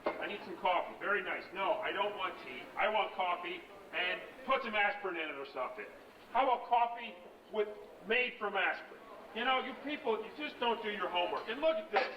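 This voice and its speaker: An actor playing a hungover, demanding superhero who is impatient and condescending in a film interview. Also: male voice, high voice, lively intonation, normal speed. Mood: irritable, demanding. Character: grouchy, hungover, demanding male superhero diva. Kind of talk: irritable interview outtake. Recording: roomy, distant, muffled noisy tape.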